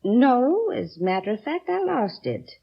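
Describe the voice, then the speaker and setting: A woman's voice: a little high, very animated, measured pace, vocal fry, husky, exaggerated voice. A woman playing a respectable, flustered store customer in a 1950s radio drama, speaking emphatically yet hesitantly. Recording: dull old radio recording.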